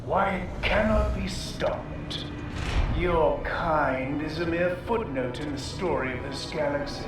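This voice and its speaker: Haughty alien zealot, cavernous echo. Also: male voice, fairly high pitch, over music. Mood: contemptuous. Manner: pompous, slow proclaiming. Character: haughty, menacing male alien zealot, contemptuous of humans. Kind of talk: video game villain acting. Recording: cavernous echo, distant from mic.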